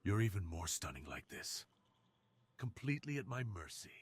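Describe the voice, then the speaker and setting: A man voicing an alluring, dominant crime boss in an intimate ASMR roleplay, speaking in a slow, teasing, hushed way. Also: on the low side, big pitch swings, slightly rough. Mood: dominant, admiring. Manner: slow, teasing, hushed delivery that drops into whispers. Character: alluring, dominant, seductive male crime boss with a tender side.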